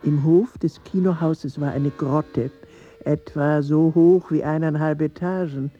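An actor playing a man reminiscing about a colleague, narrating in a measured, reflective way for a radio drama. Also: a little high, fairly even intonation, mellow, highs cut off, close to an everyday voice.

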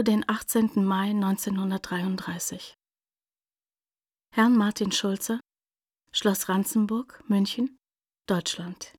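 A neutral, formal announcer in a radio play, reading out letter headings. She speaks very slowly and matter-of-factly at a medium pitch. The studio sound is dry. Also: darkish tone, dry recording. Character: neutral woman announcing letter addresses and dates.

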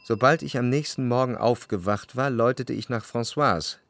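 An actor playing an anxious, jealous lover who recalls his life in measured, introspective radio drama narration. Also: medium pitch, gravelly, fairly bright tone, a little hiss or hum. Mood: brooding, introspective. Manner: measured, introspective, literary phrasing. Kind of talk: literary radio drama narration with quiet dialogue.

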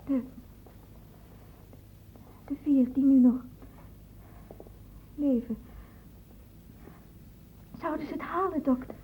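A woman's voice: high-pitched, slow pace, long pauses, slight background noise. An actress playing an anxious, cooperative young woman in a radio play, speaking in a hesitant, subdued way. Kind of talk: Dutch radio play acting. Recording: muffled old radio play recording.

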